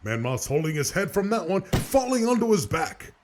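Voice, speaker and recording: Wildly animated, high-voiced male commentator. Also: moderate pace, background noise. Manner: dramatic, animated. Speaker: fight commentator. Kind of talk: scripted play-by-play commentary.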